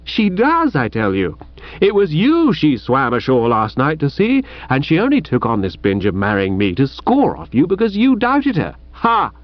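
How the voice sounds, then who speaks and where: A man's voice: fairly high pitch, very animated, slow, no room sound. A man voicing an indignant upper-class young Englishman who huffs and declaims in moral outrage. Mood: righteously indignant. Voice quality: huffy, declamatory, snorting. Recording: dull muffled recording.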